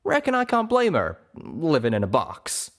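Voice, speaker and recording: Drawling male cowboy pony, played by a male reader, folksy, wry, teasing, lively pitch, storybook. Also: moderate pace.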